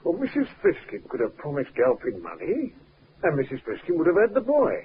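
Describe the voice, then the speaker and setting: An actor playing an eager, deferential police sergeant who speculates tentatively in a 1950s radio drama. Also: male voice, fairly high pitch, huge pitch swings, brisk. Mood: speculating, deferential. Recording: narrow-band, hissy 1950s radio recording.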